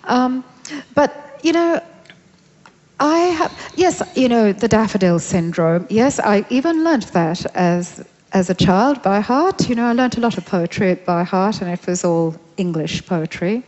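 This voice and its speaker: Woman from sri lanka musing slowly on stage, lots of ums, echoey hall, muffled lo-fi sound. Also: medium pitch, lively intonation, a little mellow. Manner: thoughtful, hesitant, many fillers. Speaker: novelist, interviewee. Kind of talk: festival stage conversation. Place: large festival hall on stage. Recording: hall reverb, dull lo-fi sound.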